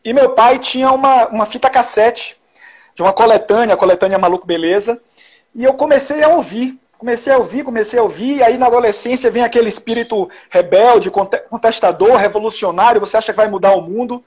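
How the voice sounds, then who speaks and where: A man's voice: very high voice, brisk. A man from Salvador with a Bahian accent, a journalist telling stories in an interview, with many restarts and tag questions. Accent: Bahian Portuguese. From Salvador, Bahia, Brazil. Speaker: journalist guest. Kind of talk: casual interview conversation.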